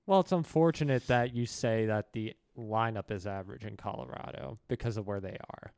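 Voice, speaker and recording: Smooth-voiced man talking sports. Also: on the low side, fairly quick, darkish tone, dry recording, clean studio sound. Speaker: podcast co-host. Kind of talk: casual sports chat.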